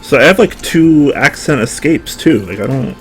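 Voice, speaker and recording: A man's voice: on the high side, normal speed. A young man casually chatting to his stream viewers at his home desk while he builds a keyboard, rambling and poking fun at himself. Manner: relaxed, rambling, self-deprecating, answers chat. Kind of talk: casual live-stream chat. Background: music playing.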